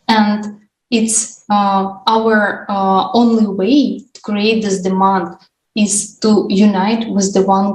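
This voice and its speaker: A woman hosting an unscripted panel discussion, speaking in halting, deliberate phrases. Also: medium pitch, darkish tone. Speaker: discussion host. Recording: roomy echo, distant mic, faint noise.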